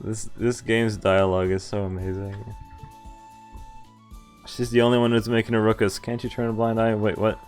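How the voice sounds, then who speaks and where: A man's voice: fairly low pitch, level tone, normal speed, darkish tone. A young man streaming a visual novel, reading its text aloud with casual, amused commentary over game music. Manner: casual, sweary, amused reactions.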